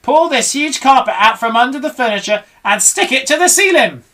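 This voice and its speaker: A reader voicing an excitable, bossy monkey father who yells orders in a high, bright voice. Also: unhurried, exaggerated voice. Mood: excited. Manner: shouting orders, frantic. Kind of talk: storybook read-aloud.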